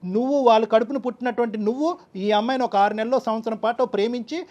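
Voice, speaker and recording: Female counselor, rapid delivery, admonishing and wordy, mediating off the cuff. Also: fairly high pitch, steady tone, darkish tone, muffled recording. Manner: admonishing, emphatic, wordy with rhetorical questions. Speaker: counselor mediating a couple's dispute. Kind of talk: spontaneous counseling talk on a show.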